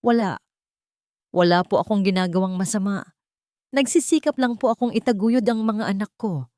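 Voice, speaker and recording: A woman's voice: medium pitch, moderate pace, dark tone, no echo, voice pushed up, natural voice. A woman narrator plays a meek Filipina mother in a drama tale. She sounds timid and pleading, respectful and afraid, in a dry recording. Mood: fearful pleading. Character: timid, frightened, hardworking single mother.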